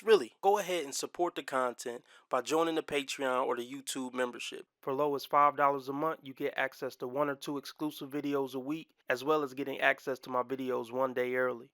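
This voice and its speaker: A man's voice: medium pitch, sharp tone, dry recording, clean studio sound. A man hosting a reaction commentary channel, blunt and opinionated with casual slang.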